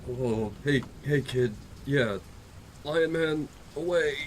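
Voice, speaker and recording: A man's voice: mid-range voice, slow, natural voice. A man playing an earnest, hapless young superhero in a student radio drama. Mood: heroic. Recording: faint background noise.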